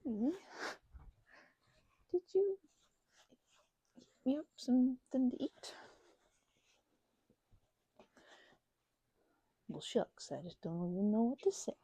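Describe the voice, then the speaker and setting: A woman playing a chatty, grateful young housemate groggy from a migraine in an ASMR roleplay, speaking softly and haltingly in a breathy, husky voice. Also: a little high, sing-song, dry recording, full character voice. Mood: pleased, grateful. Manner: halting, rambling, trailing off mid-sentence.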